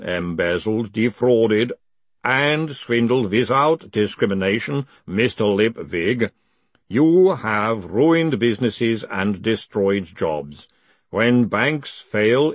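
A man voicing a huge, impassive golem in an audiobook, with a slow, calm, rumbling and formal delivery. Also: no echo. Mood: calm, accusing.

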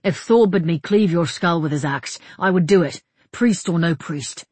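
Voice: mid-range voice, normal speed, darkish tone, breathy edge, no room sound, lightly acted. A narrator playing a proud, dutiful warrior, a man who speaks bluntly and warily in an audiobook. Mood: curt, defiant. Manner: curt, defensive, blunt. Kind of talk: audiobook character dialogue.

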